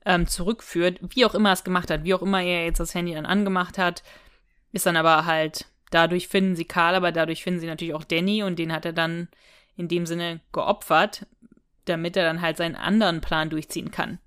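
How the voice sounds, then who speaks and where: A woman's voice: lowish voice, little pitch movement, fairly quick, fairly bright tone, smooth. A woman co-hosting a podcast, casually discussing an audio drama in long, looping explanations. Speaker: podcast co-host.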